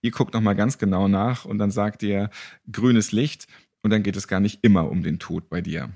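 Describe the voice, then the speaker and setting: A man's voice: fairly low pitch, normal speed, gravelly, darkish tone. A man hosting a casual interview podcast in a jokey, playful way. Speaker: podcast host.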